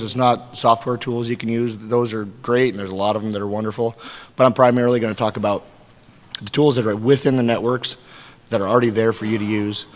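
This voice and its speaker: A hoarse man with a fairly low voice gives an informal panel talk and keeps clearing his throat. His voice carries a long echo through a big conference hall. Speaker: conference panel speaker, affiliate coordinator. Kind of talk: conference presentation.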